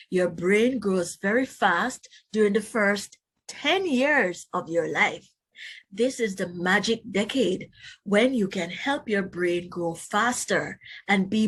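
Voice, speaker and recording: A woman reading aloud as a teacher to young children, instructive and expressive, adding explanatory asides. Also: medium pitch, breathy edge. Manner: instructive, addresses young listeners directly, expressive emphasis.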